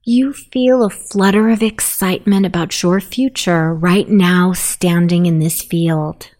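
A woman narrating a guided sleep meditation in a soothing, softly spoken, unhurried manner. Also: on the low side, fairly even intonation.

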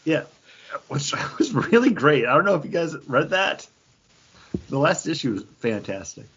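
A man co-hosting a podcast, chatting casually and enthusiastically with a slightly husky voice. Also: a little high, big pitch swings, moderate pace, fairly bright tone, muffled recording. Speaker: comics podcast co-host. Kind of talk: casual panel chat.

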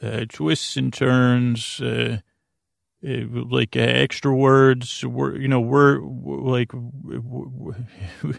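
Male sleep-podcast host: gravelly, flat intonation, gentle meandering delivery full of broken-off words. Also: mid-range voice, dry recording, clean studio sound. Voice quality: creaky. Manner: halting, repeats and trails off mid-word, soothing and gentle.

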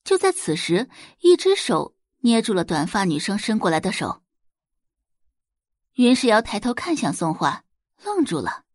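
A woman's voice: mid-range voice, sing-song, normal speed, a little mellow, dry recording, clean studio sound. A woman reading a scripted story expressively as an audiobook narrator.

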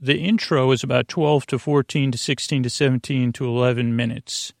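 Male sleep-podcast host: gravelly, low-set voice, soothing and digressive delivery, very dry close sound. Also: steady tone, no room sound. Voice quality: creaky. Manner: meandering, halting, digressive, with repeated false starts; soothing, lulling. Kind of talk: rambling bedtime podcast monologue to lull listeners to sleep.

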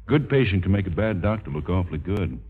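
An actor playing a measured, candid surgeon in a 1950s radio drama. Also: male voice, low-pitched, very animated, slightly rough, heavily acted. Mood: grave, courteous. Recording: narrow-band, tinny old radio recording.

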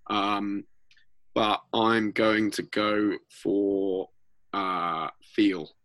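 A man co-hosting a music podcast, enthusiastic and sweary, with a slightly rough voice. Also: on the low side, level tone, extremely slow, a bit bright, no echo. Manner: enthusiastic, sweary, filler-heavy. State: voice a bit rough. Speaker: podcast co-host. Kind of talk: casual music podcast chat.

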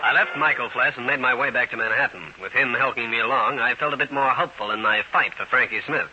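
Bright-voiced hard-boiled private eye. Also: male voice, medium pitch, quick pace, slightly rough, soft background music. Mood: wry narration. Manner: terse, slangy, wry. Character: wisecracking hard-boiled male New York private detective. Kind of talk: old-time radio detective drama narration. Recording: dull, hissy old radio recording.

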